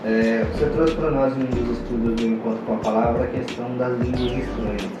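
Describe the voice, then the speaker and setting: A man's voice: medium pitch, fairly even intonation, brisk. A Brazilian man hosting a podcast, asking his guest questions in a casual, conversational way. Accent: Brazilian Portuguese. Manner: prompting, conversational. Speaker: podcast host. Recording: roomy, distant podcast audio. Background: loud music underneath.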